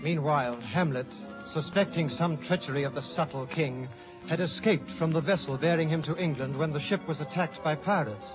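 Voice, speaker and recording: Fairly high male vintage radio narrator. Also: a little fast, loud music behind the voice. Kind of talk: scripted 1950s radio drama narration. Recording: dull vintage radio recording.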